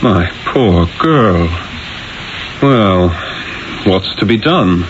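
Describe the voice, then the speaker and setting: A man's voice: sits low, expressive, very slow, full character voice. A man acting a proud, impassioned Renaissance painter with a lofty, theatrical delivery in a 1970s radio drama. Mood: sympathetic, weary. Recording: dull 1970s radio broadcast, faint noise.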